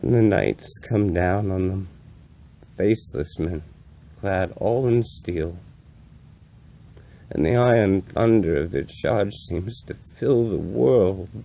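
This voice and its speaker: A man performing a grave, compassionate old wandering priest in a solemn, deliberate dramatic monologue with a gravelly voice. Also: sits low, mellow, full character voice. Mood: mournful, intense. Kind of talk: dramatic audiobook-style monologue reading.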